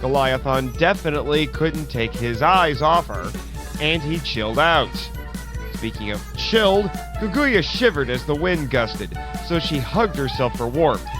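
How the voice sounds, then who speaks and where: A man narrating a scripted first-person adventure story in a theatrical, expressive way, acting out his own dialogue as he goes. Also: fairly high pitch, measured pace, music in the background. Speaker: podcast host narrating an audio story.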